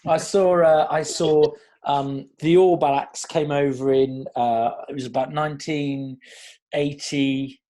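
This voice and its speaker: A man's voice: a little high, slow pace. A man, a casting director answering questions in a rambling, chatty way. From South Wales. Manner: chatty, rambling, animated storytelling. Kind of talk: online Q&A chat.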